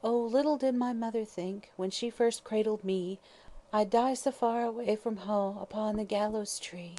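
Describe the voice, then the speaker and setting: A woman voicing a sick, delirious old woman who sings an old ballad softly and mournfully. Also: medium pitch, moderate pace, pitched above the performer's own voice, natural voice.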